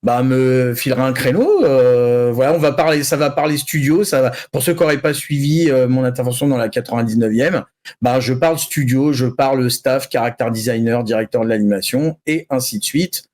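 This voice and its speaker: A man chatting informally and a little haltingly as a columnist on an online show. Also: mid-range voice, darkish tone. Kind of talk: casual chat.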